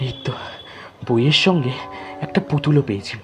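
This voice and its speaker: A man narrating a first-person horror story in a dramatic, halting, suspenseful way, often repeating phrases. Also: medium pitch, expressive, music in the background.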